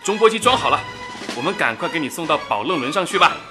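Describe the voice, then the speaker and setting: An actor playing a talkative, earnest wartime radio engineer in a radio drama, with an animated, exclamatory manner. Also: male voice, a little high, fast, music in the background. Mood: earnest, resolute.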